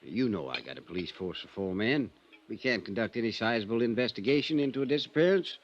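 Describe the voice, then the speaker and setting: A man's voice: on the low side, animated, fast, close to an everyday voice. A man playing a plain-spoken, cautious, folksy small-town police constable in a 1950s radio drama. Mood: guarded. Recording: dull, noisy old radio recording.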